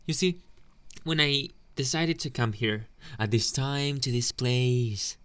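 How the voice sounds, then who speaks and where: A man playing a teasing delinquent bully boy with a secret soft side, in a taunting, drawn-out anime ASMR roleplay. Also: mid-range voice, clean tone. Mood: taunting, smug. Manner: taunting, drawn-out, questioning hums. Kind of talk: ASMR boyfriend roleplay, slow intimate speech.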